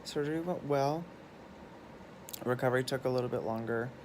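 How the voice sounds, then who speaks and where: A man in a hospital room, exhausted and groggy after surgery, speaking quietly and slowly, a little away from the phone. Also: medium pitch, background noise. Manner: quiet, drained, slow. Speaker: vlogger.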